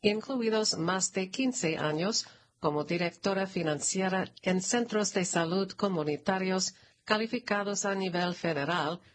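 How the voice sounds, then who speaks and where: A woman reading a scripted news announcement aloud in a formal, measured manner. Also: medium pitch. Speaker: narrator reading a press release.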